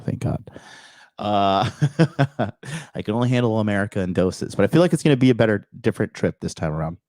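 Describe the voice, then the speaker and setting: Raspy, blunt-talking male livestream host. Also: on the low side, animated, a little fast, darkish tone, no room sound, studio quality. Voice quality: slightly nasal. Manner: blunt, crude, talks back to live chat. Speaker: podcast host. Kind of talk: livestream commentary reading an article and chat.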